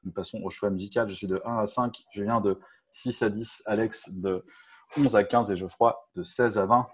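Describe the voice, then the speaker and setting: A man's voice: lowish voice, steady tone, normal speed, breathy edge. A man hosting a podcast in a casual, informal, joking manner. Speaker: podcast host.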